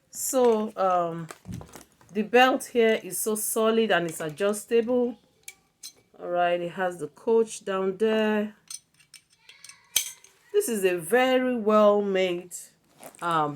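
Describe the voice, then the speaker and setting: A woman with a Nigerian accent gives a casual product review as a video blogger, often repeating words for emphasis. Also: mid-range voice.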